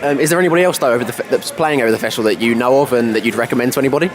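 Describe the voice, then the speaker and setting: A man interviewing a comedian, asking quick questions with many ums. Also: medium pitch. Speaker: radio interviewer.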